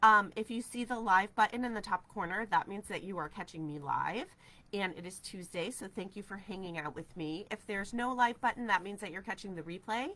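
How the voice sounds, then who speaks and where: A woman's voice: medium pitch, pushed and bright. A woman in her forties with a stuffy head cold, chatting upbeat on a craft livestream in her studio, answering viewer comments. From New Hampshire, USA. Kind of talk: casual craft livestream chat. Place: craft studio with shelves of ribbon. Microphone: camera at desk distance.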